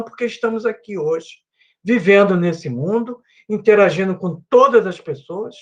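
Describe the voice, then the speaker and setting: A man's voice: sits high, lo-fi sound. A Brazilian man teaching a Bible class at his computer with a microphone and headphones. He speaks earnestly and keeps adding little "né" tags. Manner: earnest, repeats himself with many "né" tags. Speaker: Sunday school teacher.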